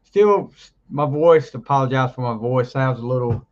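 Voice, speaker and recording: Brief: male podcast host getting over the flu, rambly, mid pitch, lo-fi sound. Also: moderate pace, a little mellow. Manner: rambling, laughs often, trails off mid-sentence. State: recovering from flu, voice deeper than usual. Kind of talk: casual chat.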